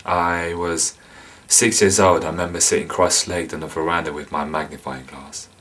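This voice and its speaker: An actor playing an insane, menacing killer and resentful loner, confessing in a volatile dramatic monologue. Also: male voice, sits low, fairly even intonation, normal speed. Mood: calm, menacing reminiscence. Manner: confessional, volatile, swinging from hushed to explosive.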